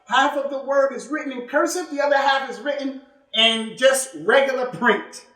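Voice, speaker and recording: A man's voice: very high-pitched, fairly even intonation, normal speed, bright, forceful tone. A man giving an emphatic sermon in a mosque, repeating points and asking rhetorical questions, with Arabic religious terms mixed into his English. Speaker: imam giving Friday sermon. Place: mosque prayer hall. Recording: echoey hall, voice from some distance.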